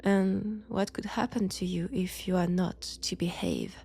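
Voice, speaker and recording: A woman with a French accent playing a menacing, seductive female vampire in an intimate roleplay audio drama, softly taunting and often whispering. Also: lowish voice, expressive, a little slow, breathy edge, heavily acted. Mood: dominant, threatening.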